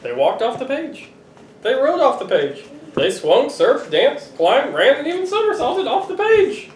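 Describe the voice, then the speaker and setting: Expressive dad reading aloud, roomy distant sound. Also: male voice, very high-pitched, a little slow. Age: middle-aged. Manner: expressive, playfully exasperated. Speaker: father reading to his children. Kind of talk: animated picture-book read-aloud. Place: living room sofa, indoors. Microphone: camera a few feet away. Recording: roomy, distant home recording with noise.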